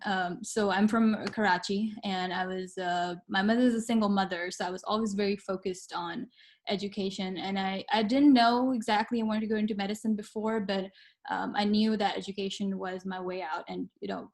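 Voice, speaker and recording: A woman's voice: mid-range voice, steady tone, moderate pace. A young woman from Pakistan, a guest on an interview show, telling her story in an earnest, reflective way with some hesitant fillers. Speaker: guest, medical student.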